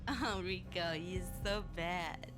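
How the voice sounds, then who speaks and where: Sultry, low-voiced streetwalker. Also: female voice, slow. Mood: flirtatious. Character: sultry, cynical female hooker, flattering and sly. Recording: loud music behind.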